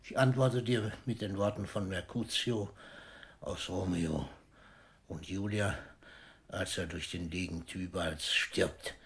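A man's voice: deep voice, steady tone, slow pace. An older actor in a German radio play, playing an ailing, philosophical old poet with a wry, fatherly manner. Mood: resigned, theatrical. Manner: philosophical, wryly theatrical, with whispered asides.

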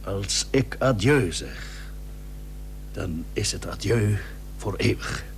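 Slow, solemn old admiral, swooping delivery. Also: male voice, lowish voice, expressive. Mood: solemn, resigned. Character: ailing, dutiful, pious seventeenth-century Dutch admiral, resigned to death. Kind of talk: old radio drama acting. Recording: old radio recording with faint hum.

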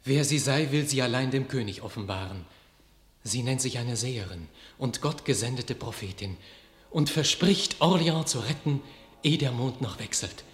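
A man's voice: mid-range voice, moderate pace, close to an everyday voice. Knight messenger for a radio play, awed storytelling, roomy studio. Male actor. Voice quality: vivid, narrating, awed. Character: knight telling of a miracle in battle. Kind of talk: classic radio play acting.

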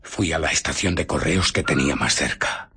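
An actor with a Castilian Spanish accent playing an obsessed, irritable scientist in a radio drama, brooding and intense. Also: male voice, a bass voice, normal speed, sharp tone, heavily acted. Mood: tense recollection. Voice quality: husky. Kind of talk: radio drama acting, first-person narration.

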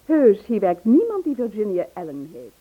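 Curt snooty secretary in old radio play, husky, up and down a lot, tinny recording. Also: female voice, mid-range voice, wildly swooping pitch, normal speed. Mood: sceptical, dismissive. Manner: curt, officious. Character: brisk, dismissive female private secretary guarding her boss. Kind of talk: Dutch radio play acting.

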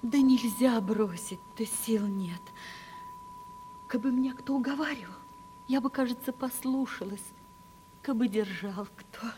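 An actress playing a melancholy, lonely young woman in love, simple and hushed, in a Russian radio play. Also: fairly high pitch, a little slow, pauses often, soft background music, lightly acted. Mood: hollow sorrow. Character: sorrowful, lonely young poor relation in love.

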